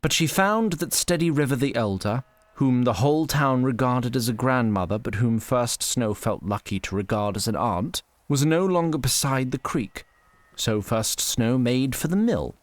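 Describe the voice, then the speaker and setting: A man narrating a scripted fantasy audio story in a measured, literary and slightly wry manner. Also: mid-range voice, animated, dry recording.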